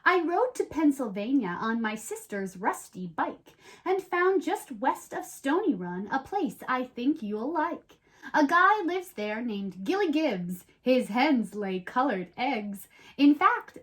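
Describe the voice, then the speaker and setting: Animated high-pitched woman reading to children. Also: slow. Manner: animated, explaining things simply for children. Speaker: children's show host reading a picture book aloud. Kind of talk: expressive read-aloud storytelling with playful chat.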